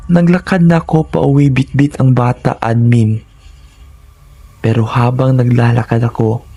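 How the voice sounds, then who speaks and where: A man's voice: medium pitch, gravelly, mellow. A man narrating scripted first-person horror stories in a dramatic, suspenseful manner, in short phrases.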